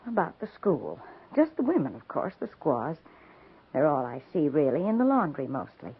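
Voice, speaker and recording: A woman's voice: deep voice, sing-song, moderate pace, slightly rough, full character voice. An actress playing a timid, earnest frontier wife in a 1950s radio western, hesitant and self-effacing as she confides. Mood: remorseful, earnest. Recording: tinny, hissy 1950s radio recording.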